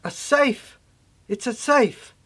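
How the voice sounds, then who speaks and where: A performer delivering a theatrical spoken-word monologue in fragmented, halting phrases with dramatic swings. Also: mid-range voice, expressive, fairly bright tone, slightly rough.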